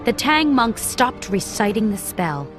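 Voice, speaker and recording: A woman's voice: fairly high pitch, very animated, unhurried, a bit bright, soft background music. A woman narrator reading a scripted children's story for English learners.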